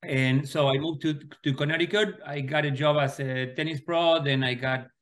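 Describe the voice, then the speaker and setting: Relaxed Argentine man in his forties. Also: fairly high pitch. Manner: relaxed, unhurried, repeats words as he thinks. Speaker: guest, sports club co-founder. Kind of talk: casual interview answers.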